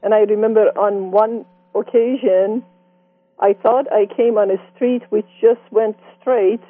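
A woman in her sixties from Iran with a Persian accent, telling her life story in an interview with reflective, gently amused warmth. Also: mid-range voice, steady tone, a little slow, no room sound. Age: 60s. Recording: dry tinny phone-like sound.

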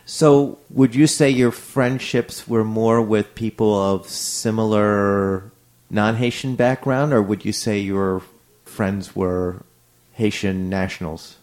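A man's voice: fairly low pitch, steady tone, slow pace, darkish tone. A man interviewing a guest, asking short, direct questions in a casual tone.

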